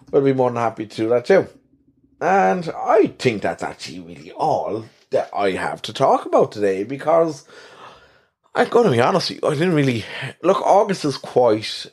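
A lower-pitched Irish man hosting his podcast alone, rambling along in an easygoing, self-deprecating way. His voice is soothing and he fills the gaps with plenty of ums and uhs. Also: a little mellow. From Ireland. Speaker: podcast host. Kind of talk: casual solo podcast chat.

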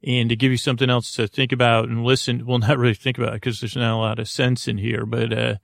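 A man with a creaky voice hosting a bedtime podcast, rambling in a halting, meandering monologue that trails off mid-thought. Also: medium pitch, level tone, dry recording, studio quality. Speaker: podcast host.

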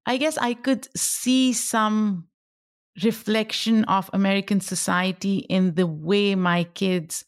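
A woman's voice: mid-range voice, fairly even intonation, takes it slowly, no room sound, studio quality. A middle-aged woman with a Pakistani accent and Pashto as her first language, talking reflectively about her own life as a podcast guest. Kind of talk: reflective personal interview talk.

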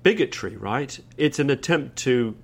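A man's voice: medium pitch, animated, unhurried, gravelly, breathy edge. A middle-aged man hosting a conversational interview, asking curious, probing questions with a dry wit. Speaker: interviewer host.

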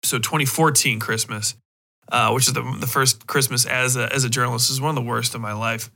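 A man hosting a podcast, chatting casually and rambling in a halting, conversational way. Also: mid-range voice, steady tone, bright, forceful tone. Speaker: podcast host. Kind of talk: casual rambling solo chat.

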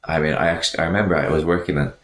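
A man's voice: rumbling low, fairly even intonation, very fast, fairly bright tone, roomy sound. An Irish man co-hosting a podcast, telling a story in casual chat. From Ireland. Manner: chatty anecdotal storytelling. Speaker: podcast co-host.

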